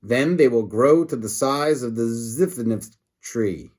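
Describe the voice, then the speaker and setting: A man's voice: medium pitch, a little slow, darkish tone, close to an everyday voice. A man voicing a gloating, scheming alien villain in a storybook, with a sneering, menacing manner.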